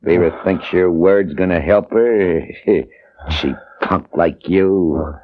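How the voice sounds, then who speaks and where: An actor playing a menacing, mocking thug in a 1950s radio crime drama, with taunting, slangy delivery. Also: male voice, low-pitched, slow pace. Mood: gloating. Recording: dull vintage 1950s radio recording.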